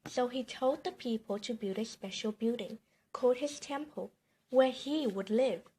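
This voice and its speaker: Woman reading a bedtime story to kids, kinda high husky voice, expressive storyteller delivery. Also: normal speed. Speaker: storybook reader for children. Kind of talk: read-aloud bedtime story narration.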